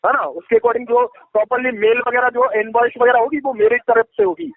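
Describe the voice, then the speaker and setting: A man making a phone sales pitch, insistent, repetitive and evasive. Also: a little fast, creaky. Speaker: advisory company agent on a sales call. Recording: tinny, echoey phone call recording.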